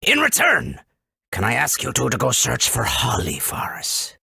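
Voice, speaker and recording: A man voicing an excitable, gruff little boss of small creatures in a video game, raspy and creaky. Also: mid-range voice, a little slow, dry recording, studio quality, full character voice. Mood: scheming. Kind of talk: video game character acting.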